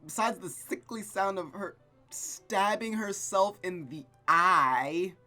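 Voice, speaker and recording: A gaming host reading a visual novel aloud with casual, rambling, expressive commentary. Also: medium pitch, slow, soft background music. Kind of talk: casual game commentary and read-aloud narration.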